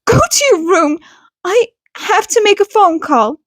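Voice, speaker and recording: A woman voicing a distressed, protective mother in a story, with a breathy, emotional delivery. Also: very high-pitched, animated, measured pace, no room sound, voice pushed up. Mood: controlled anger. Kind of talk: storybook character acting.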